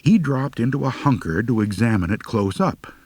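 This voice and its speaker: A man's voice: mid-range voice, expressive, moderate pace, darkish tone, no echo. A man narrating a humorous first-person story as an audiobook reader, with a wry, mock-serious delivery.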